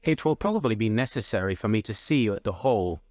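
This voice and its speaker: A man voicing a calm gentleman detective in a classic story, with a bland, polite, precise manner. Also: lowish voice, fast, no echo, clean studio sound, close to an everyday voice. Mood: courteous.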